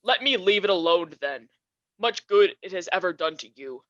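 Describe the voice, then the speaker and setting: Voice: medium pitch, lively intonation, moderate pace, a bit bright, dry recording, dull audio, close to an everyday voice. A young performer playing a grumpy, scornful old miser in a school radio play, curt and dismissive. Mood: scornful, irritable. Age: teenager or young adult.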